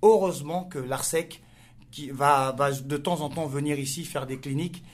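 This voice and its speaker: French soccer coach, hesitant answers. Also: male voice, fairly high pitch. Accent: French from France. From France. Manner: hesitant, many pauses and fillers. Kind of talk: radio interview answers.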